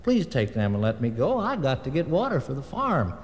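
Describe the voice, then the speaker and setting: A man's voice: medium pitch, wildly swooping pitch, a little fast, big-room sound. A man doing an earnest farmer. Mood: plain. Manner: plain-spoken, pleading. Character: simple, earnest country farmer, adult man.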